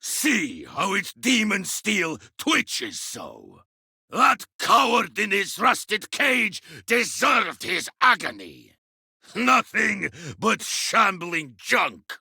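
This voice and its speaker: A rough, brash warrior from a video game. He taunts savagely in a high, very raspy, piercing voice with big swoops in pitch. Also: huge pitch swings, drawn-out words, sharp tone, no room sound, exaggerated voice. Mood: savage gloating. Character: rough, brash male space marine warrior. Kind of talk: video game battle barks.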